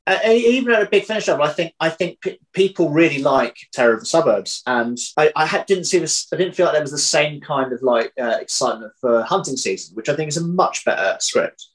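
A man's voice: medium pitch, rough recording. A man in his thirties talking casually and reflectively in an interview, rephrasing as he thinks aloud on a video call. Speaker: audio drama writer, interview guest. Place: home room, indoors. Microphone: video call, computer at arm's length.

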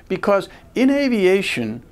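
An American man in his sixties giving a deliberate, step-by-step lesson to camera as a flight instructor, recorded indoors on a lapel mic. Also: sits high, big pitch swings. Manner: deliberate, step-by-step teacherly delivery. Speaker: flight school ground instructor. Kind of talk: instructional lecture to camera with rhetorical questions. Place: indoor video studio. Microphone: lavalier clipped to shirt.

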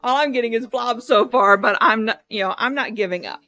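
A chatty woman with a fairly high voice speaks casually from close behind the camera. She tends to repeat her phrases as she comments and asks questions. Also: brisk, sharp tone. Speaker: vlogger filming and asking questions. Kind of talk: casual chat. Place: indoors at a farm shop. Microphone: behind the handheld camera, close.

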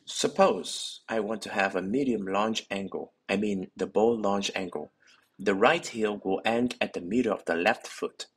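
Husky low-voiced man explaining technique. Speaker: bowling coach. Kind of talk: scripted instructional narration.

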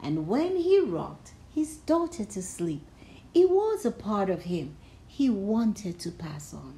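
A woman reading a children's storybook aloud, measured and expressive, with dramatic emphasis on key phrases. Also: mid-range voice. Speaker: storybook reader.

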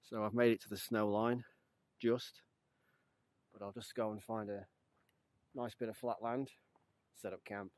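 A man's voice: on the low side, steady tone, measured pace, pauses often, darkish tone, no echo. A man in his thirties chatting casually to a handheld camera while hiking outdoors, upbeat and easygoing. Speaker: hiking vlogger. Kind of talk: casual vlog narration to camera. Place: outdoors on a mountain trail. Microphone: action camera held at arm's length. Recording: clear outdoor noise behind.

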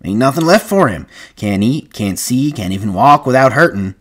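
A man voicing a blunt, impatient ranch hand in an audiobook, with rough, folksy rural speech and an insistent manner. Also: mid-range voice, animated, normal speed, voice pushed up, lightly acted. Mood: blunt, pressing. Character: blunt, impatient male ranch hand pushing a mercy killing.

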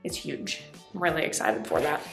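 A young woman giving a chatty, excited tour of an empty apartment, filming herself with a handheld camera. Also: deep voice, moderate pace, gravelly. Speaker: vlogger. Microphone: handheld camera at arm's length. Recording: echoey empty room with faint music.

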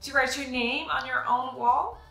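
Storybook little sister egg, timid but urgent, high bright voice, acted by a woman. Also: fairly even intonation, a little slow, roomy sound, soft background music, full character voice. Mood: shocked. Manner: earnest, urgent calling. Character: timid little egg girl, earnest and caring.

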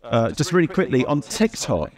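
A man's voice: medium pitch, sing-song, moderate pace, a little mellow. A middle-aged man moderating a question session at a conference in an auditorium. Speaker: panel moderator. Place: stage in a large auditorium.

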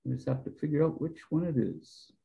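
A man's voice: fairly high pitch, brisk, gravelly. A man presenting a product on a video call, casual and rambling with fillers and restarts. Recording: dull lo-fi video call audio.

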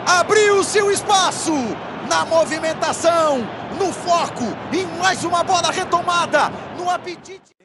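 Brazilian male play-by-play caller, very high shouted voice, stadium crowd roaring behind, noisy broadcast. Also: measured pace. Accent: Brazilian Portuguese. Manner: shouting excited goal calls. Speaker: play-by-play commentator. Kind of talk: football goal commentary.

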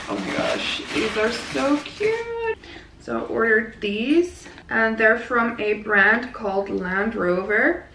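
A young woman chatting casually in a haul vlog on her living-room sofa, a little raspy from lack of sleep, gushing and laughing often. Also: medium pitch, a bit bright, a little hiss or hum. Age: young adult. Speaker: vlogger. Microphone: camera mic from a few feet away. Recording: roomy echo from camera distance.

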